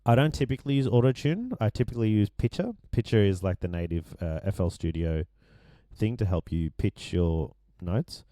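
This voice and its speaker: A man with a deep voice that is going hoarse, casually walking through his vocal mix with many ums and restarts. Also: lively intonation, moderate pace, mellow, dry recording, studio quality. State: losing his voice. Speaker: music producer explaining his mix. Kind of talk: casual screen-share tutorial.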